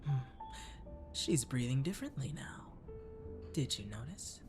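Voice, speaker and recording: Catboy cafe waiter , male performer, mid-to-high pitched for ASMR comfort roleplay: gentle, flirty, whispery, music underneath. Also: very animated, measured pace. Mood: gentle, caring. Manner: soft-spoken, drifting into whispers.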